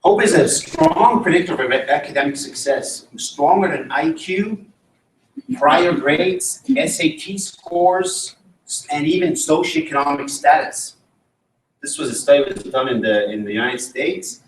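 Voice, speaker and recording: A man's voice: fairly high pitch, unhurried. A man from Switzerland giving an academic conference talk and putting questions to the audience. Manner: engaging, asks the audience questions. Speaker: conference presenter. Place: conference room. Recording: roomy, mic at a distance.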